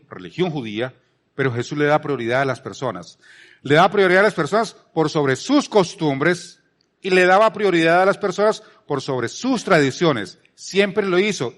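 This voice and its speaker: A Colombian man preaches in a conversational style, his voice set a little higher than most men's. He drives points home by repeating phrases and poses rhetorical questions as he teaches. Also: expressive, normal speed, fairly bright tone. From Palmira, Colombia. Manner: emphatic repetition, rhetorical questions. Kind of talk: conversational sermon.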